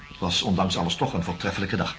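An actor playing a patient, cautious, kindly doctor, speaking in a measured, slightly hesitant way in a radio drama. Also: male voice, sits low, fairly even intonation, natural voice. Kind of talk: naturalistic radio drama dialogue.

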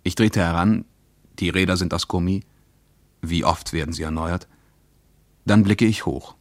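A sceptical, pensive male traveller reading his diary in a radio play. The voice is very deep and dryly recorded, with a solemn literary delivery. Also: a little slow, dry recording. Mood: observing.

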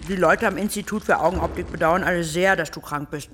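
A woman's voice: on the low side, fast, fairly bright tone, a little hiss or hum, close to an everyday voice. A woman playing a solicitous, chirpy rich fiancée in a radio play, making polite small talk. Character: rich, overweight, chirpy young fiancée visiting a patient.

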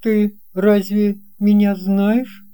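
Woman as a mellow, curious young storybook boy. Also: mid-range voice, level tone, drawn-out words, smooth, voice pushed up. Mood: puzzled, curious. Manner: questioning, wondering. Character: curious, puzzled young boy, sensitive and doubtful. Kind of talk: children's audio storybook dialogue.

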